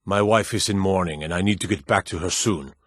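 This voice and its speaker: A proud old smith from a fantasy video game. His voice sits fairly low and has a rough, husky grain. He speaks plainly and with weight, gruff but measured. The recording is dry, with no room sound. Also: a bit bright, dry recording. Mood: earnest, steady. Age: older. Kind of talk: fantasy game character dialogue.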